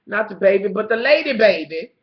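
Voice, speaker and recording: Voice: mid-range voice, expressive, brisk, reverberant. A TV show reviewer with a stuffed-up nose, recapping an episode in gossipy, profane storytelling and calling the viewer honey. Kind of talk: casual episode recap vlog. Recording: slightly muffled lo-fi recording.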